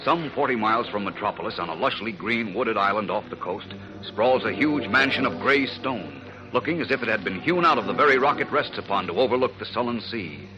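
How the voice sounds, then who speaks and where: A man's voice: fairly low pitch, lively intonation, fairly quick, muffled recording, over music. A man narrating a 1940s radio serial in a dramatic, portentous announcer's manner.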